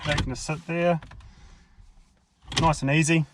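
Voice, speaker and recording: Australian man, higher-pitched, noisy recording. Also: steady tone, a little slow. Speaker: amateur product reviewer. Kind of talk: casual hands-on vlog narration. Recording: clearly noisy amateur vlog audio.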